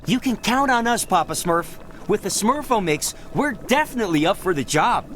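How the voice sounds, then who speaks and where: A young, loyal little elf in a game. The voice is high and animated, with faint noise behind it. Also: male voice, normal speed. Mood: eager. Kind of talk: video game cutscene acting.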